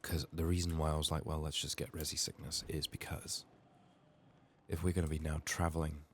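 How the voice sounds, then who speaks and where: A soft-spoken man murmuring low-key ASMR game commentary, thinking aloud in a slightly sick, strained voice. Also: little pitch movement, fairly quick. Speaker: ASMR gaming YouTuber. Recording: faint background noise, clicking.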